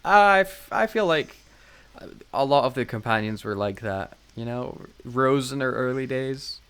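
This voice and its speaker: A man's voice: mid-range voice, animated, measured pace, a bit bright, smooth. A man co-hosting a podcast in casual chat, with a wry, jokey manner and frequent laughter. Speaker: podcast co-host. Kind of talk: casual chat reviewing audio dramas.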